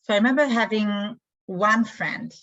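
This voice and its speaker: A woman with an Israeli accent, answering interview questions in a thoughtful way with many pauses. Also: medium pitch, fairly bright tone, lo-fi sound. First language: Hebrew. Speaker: guest, community emissary.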